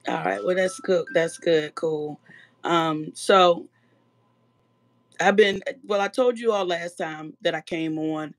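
A woman guest on a livestream, her voice slightly raspy from allergies, relaxed as she talks about her painting. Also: on the low side, slow pace. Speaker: guest artist. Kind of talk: casual livestream chat.

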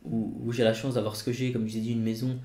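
A man's voice: medium pitch, flat delivery, fast talker, warm tone, roomy sound. A man in his twenties talking casually to camera from his home studio, rambling with many fillers and restarts. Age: 20s. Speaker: YouTuber.

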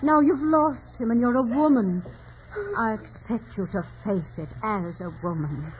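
A woman's voice: on the high side, a little slow, a little mellow, close to an everyday voice. An actress playing a sensible, caring female confidante in old radio drama, earnest and admonishing. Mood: firm, consoling. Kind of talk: 1940s radio drama acting. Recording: dull old radio recording, faint noise.

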